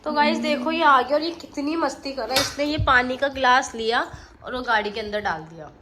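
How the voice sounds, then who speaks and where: Hoarse young mother vlogging at home. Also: sits high, fairly quick, noisy background. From Himalayan hill region of India. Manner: chatty, talks to camera and coaxes her toddler. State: hoarse from a cold. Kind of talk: casual home vlog. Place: family home.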